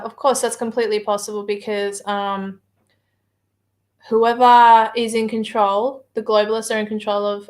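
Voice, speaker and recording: A woman with an Australian accent talks casually on a livestream, hesitating often with filler words as she answers viewer comments. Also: a little high. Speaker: livestream guest reading viewer chat.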